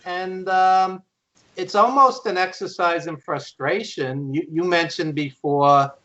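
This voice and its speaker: A man with a fairly high voice speaks very slowly, in short halting phrases. He gives casual opinions as a podcast co-host on a slightly dull recording. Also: pushed and bright. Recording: dull lo-fi sound.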